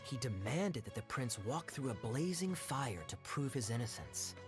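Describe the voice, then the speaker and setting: An actor playing a suave, teasing young knight in a video game, with a smooth, wry, theatrical delivery. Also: male voice, mid-range voice, very animated, moderate pace, music in the background, natural voice. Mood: relaxed, storytelling. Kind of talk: video game character dialogue.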